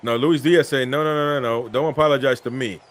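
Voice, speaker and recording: A man's voice: medium pitch, fairly even intonation, fairly quick. Chatty man hosting a live football stream. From Peru. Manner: chatty, reads viewer comments aloud. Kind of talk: casual live match commentary.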